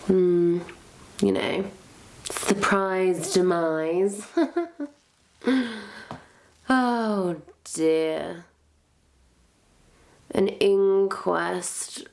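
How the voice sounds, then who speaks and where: A wicked witch, acted out by a woman in a suspenseful roleplay monologue, smug and theatrical. She draws her florid phrases out extremely slowly, with a gravelly creak in the voice, as if savoring her power over a captive. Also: medium pitch, a little mellow. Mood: sly, gossiping.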